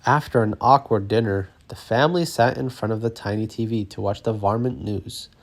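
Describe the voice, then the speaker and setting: A man narrating a children's story as an expressive read-aloud. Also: lowish voice, normal speed.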